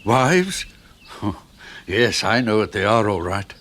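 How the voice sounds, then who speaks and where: An actor in a radio play voicing a meek, apologetic, henpecked British suburban husband with a husky, reserved voice. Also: male voice, fairly low pitch, very animated, slow pace, fairly bright tone, exaggerated voice. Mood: mild, resigned.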